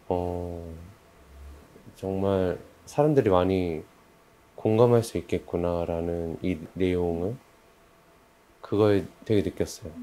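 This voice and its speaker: A man with a deep voice reflects casually on a team project. His delivery is very slow and halting, with a dark, relaxed tone. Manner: halting, unsure where to begin. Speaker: member of a creative production team.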